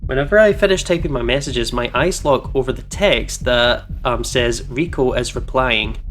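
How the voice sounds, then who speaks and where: A man with a mid-pitched voice reads a visual novel aloud as host. He moves between dramatic narration and casual, expressive commentary, with faint game music behind him. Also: moderate pace. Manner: expressive, reactive, swears casually. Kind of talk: dramatic first-person narration mixed with casual banter.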